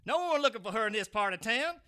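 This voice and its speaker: A man's voice: sits high, lively intonation, fast, pushed and bright, clear, resonant tone. A man playing a crude, leering street bully in an audio drama, slangy and swaggering. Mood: curious, dismissive.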